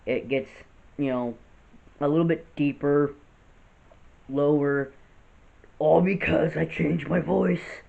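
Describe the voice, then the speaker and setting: A gravelly-voiced man in his late twenties speaks earnestly, without a script, and keeps restarting his thoughts. His voice sits a little high for a man. The audio is thin and phone-like, as if recorded at home on a cheap device. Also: fairly even intonation, slow, long pauses, a little mellow. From United States. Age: 20s. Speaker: vlogger. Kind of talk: unscripted serious vlog. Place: house with poor lighting.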